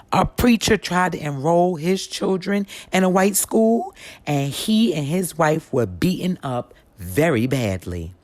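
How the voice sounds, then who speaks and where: A woman reading a history story aloud as a narrator, in a deliberate, emphatic storytelling manner. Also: deep voice, a little mellow, no echo. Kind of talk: expressive audiobook-style read-aloud of a history story.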